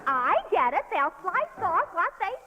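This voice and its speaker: A woman's voice: extremely high pitch, very animated, a little slow, sharp tone, muffled recording, full character voice. An actress playing a chirpy, inquisitive woman in a 1930s radio comedy sketch. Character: chirpy woman questioner feeding jokes to candidate. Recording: noisy old 1930s radio broadcast.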